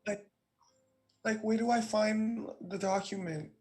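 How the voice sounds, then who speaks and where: A man faking a soft young woman's voice. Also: sits high, fairly even intonation, a little slow, faint music behind, pitched above the performer's own voice. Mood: unsure. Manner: hesitant, mild questioning. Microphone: video call.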